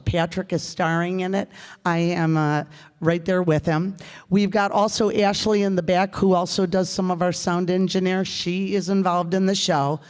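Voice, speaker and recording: A woman's voice: lowish voice, a little mellow. An American woman, a theater director, making informal, enthusiastic and rambling announcements to a live audience. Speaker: director and actor in a radio theater group. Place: live performance hall. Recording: reverberant hall.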